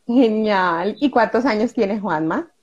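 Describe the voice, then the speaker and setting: A woman's voice: mid-range voice, animated, slow, highs cut off. A woman hosting a conversational live interview, putting questions to her guests in Spanish. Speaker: host.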